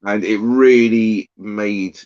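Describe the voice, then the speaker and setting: A man with a Yorkshire accent chats on a live stream, rambling along with plenty of "you know" fillers. His voice sits fairly low. It comes through a phone and sounds muffled and lo-fi. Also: steady tone, slow pace, a little mellow, smooth. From Yorkshire, England. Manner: chatty, rambling, filler-heavy. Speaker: guest YouTuber. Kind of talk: casual chat on a live stream.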